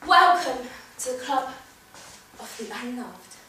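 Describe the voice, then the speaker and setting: High, echoey, bitter unloved wife played by a woman. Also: expressive, bright, forceful tone, heavily acted. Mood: wounded bitterness. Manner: deliberate, dramatic, short weighted phrases. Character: bitter, unloved wife from old legend, wounded, defiant. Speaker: drama student performing an exam monologue. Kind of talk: theatrical stage monologue. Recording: very echoey room, distant mic, faint noise.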